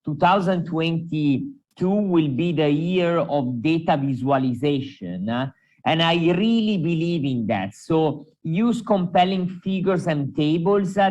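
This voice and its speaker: A man with a strong Italian accent speaks in a slightly high voice, filling his pauses with "uh". The sound is muffled and lo-fi, like an online call. He walks a panel through advice as a journal editor. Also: darkish tone. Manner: hesitant, many fillers. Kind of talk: conference panel remarks.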